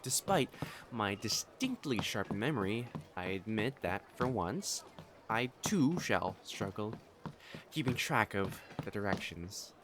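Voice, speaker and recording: Man playing a haughty, flustered young nobleman, wildly expressive. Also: lowish voice, slow, background noise. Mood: wistful, formal. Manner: haughty formal diction, stammering when flustered. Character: proud, flustered, possessive young noble matchmaker, secretly smitten. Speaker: voice actor in a romance audio roleplay. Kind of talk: acted romantic audio drama monologue to the listener.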